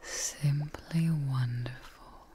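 A young woman playing a devoted, tired scientist tending her creation in a breathy, gentle whispered ASMR roleplay, close to the mic. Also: very deep voice, fairly even intonation, a little hiss or hum, exaggerated voice. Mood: tender, awed. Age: young adult. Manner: slow, breathy, gentle, pausing. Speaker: ASMR performer. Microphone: close ASMR microphone.

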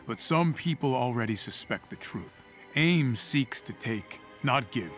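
An actor playing an idealistic soldier hero who leads with earnest, measured, rousing words in a video game. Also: male voice, medium pitch, animated, fairly bright tone, soft background music. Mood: earnest resolve. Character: steadfast, idealistic male super-soldier leader. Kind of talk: heroic video game cutscene acting.

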